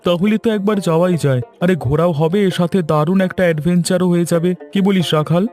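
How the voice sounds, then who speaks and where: Man playing a high-pitched, bold young man. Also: fairly quick, darkish tone, faint music behind, close to an everyday voice. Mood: eager. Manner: eager, scoffing. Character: bold, adventurous young man, dismissive of ghosts. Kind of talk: animated horror story acting.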